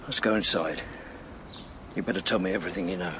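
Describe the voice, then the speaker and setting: An actor with a British accent playing a gruff, blunt police superintendent in a radio drama, stern and probing. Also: male voice, deep voice, fast, close to an everyday voice. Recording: noisy background ambience.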